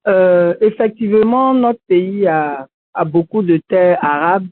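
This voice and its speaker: A woman with an Ivorian French accent hosting a civic radio show over a video call, speaking in an earnest, critical, measured way. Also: lowish voice, no echo. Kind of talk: commentary and answering callers. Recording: dry, tinny video-call audio.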